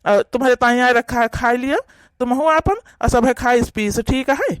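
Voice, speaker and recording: A man's voice: extremely high pitch, moderate pace, clean tone, no echo. A man with an Awadhi accent voicing a kind, easygoing young brother in a cartoon, explaining things soothingly. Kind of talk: cartoon voice acting.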